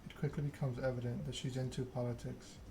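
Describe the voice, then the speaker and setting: Male ASMR narrator reading second-person fiction. Measured, unhurried, narrow pitch range, with clear background noise. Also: little pitch movement. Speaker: video game streamer reading game text aloud.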